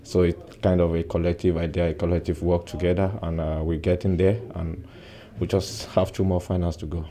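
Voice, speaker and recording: A young man in his twenties, a footballer giving a soft-spoken post-match interview with many "uh" pauses, recorded indoors close to the mic. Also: low-pitched, little pitch movement. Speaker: football player. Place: indoor press backdrop at a stadium.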